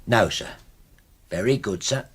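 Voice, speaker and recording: An actor playing a deferential but chatty working-class English butler in a radio drama, husky and colloquial. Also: male voice, fairly low pitch, measured pace. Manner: colloquial, respectful, grumbling.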